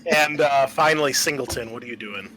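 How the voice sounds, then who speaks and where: A man acts as game master for a horror tabletop game. His voice is bright and forward, with halting pauses while he sets out scenes, over faint music. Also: medium pitch, rough recording.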